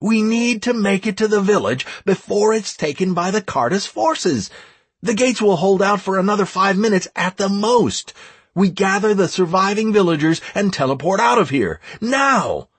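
A man voicing a young male gamer leading a raid, barking urgent, forceful battle orders in a fantasy audiobook. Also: on the high side, huge pitch swings, fairly quick, hardly any pauses, breathy edge, voice pushed up, heavily acted. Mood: urgent, commanding. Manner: commanding, forceful shouting.

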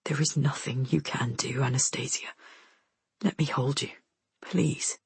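A woman voicing a gentle, protective, remorseful young male soldier in a low, breathy hush for an audiobook. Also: steady tone, moderate pace, dry recording. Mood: tender, pleading.

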